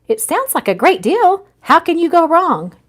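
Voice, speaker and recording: A woman voicing a compassionate, inquisitive stranger who gently encourages confidences in a romance novel. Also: very high-pitched, very animated, moderate pace, voice pushed up. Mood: upbeat, curious. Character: kind, probing, compassionate stranger with past heartache.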